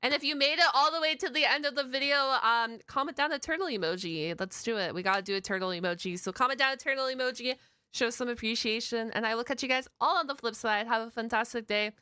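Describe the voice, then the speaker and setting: A woman's voice: fairly high pitch, fast talker, bright, forceful tone, smooth, no echo. A woman giving casual, slangy, gushing reaction commentary as a video host.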